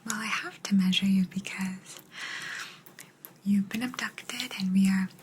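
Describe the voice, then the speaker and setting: A woman playing a soothing, softly bossy alien abductor in a hushed, breathy ASMR whisper roleplay. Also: medium pitch, fairly even intonation, background noise, lightly acted. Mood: calm, clinical, attentive. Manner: slow, soothing, hushed, matter-of-fact.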